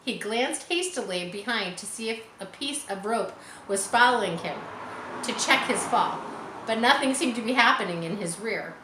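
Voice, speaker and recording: A woman reading a children's story aloud expressively, pausing to explain things as if to schoolchildren. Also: a little high, expressive. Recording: roomy echo, faint background noise.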